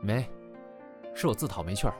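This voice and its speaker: A man's voice: on the low side, lively intonation, brisk, natural voice. A man playing a guarded, wistful young performer with a wry manner in an audio drama. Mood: subdued. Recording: music behind the voice. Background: music.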